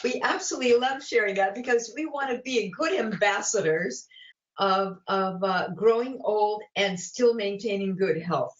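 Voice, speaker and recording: A woman's voice: mid-range voice, normal speed, roomy sound, highs cut off. A woman in her late seventies, a guest chatting casually about cooking, with many fillers and restarts. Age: 70s. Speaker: guest, vegan cookbook author and cooking teacher. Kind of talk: casual interview chat.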